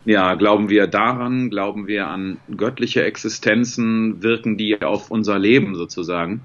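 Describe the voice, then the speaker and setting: Male guest, forties, low voice, reflective and hesitant, muffled home video-call sound. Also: moderate pace, fairly bright tone. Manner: reflective, thinks aloud with many fillers and restarts. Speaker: guest voice actor. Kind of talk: casual video-call interview. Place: home room with bookshelves.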